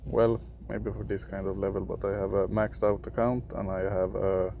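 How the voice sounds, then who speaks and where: A young man from Sweden with a Swedish accent, for whom English is a third language, giving casual gameplay commentary in a halting way, often searching for words. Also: low voice, level tone, warm tone, highs cut off, slight background noise. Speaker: gaming video creator.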